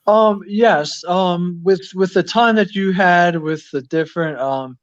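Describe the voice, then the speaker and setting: Man, fairly high voice, halting delivery, radio co-host, sports question, slightly muffled lo-fi sound.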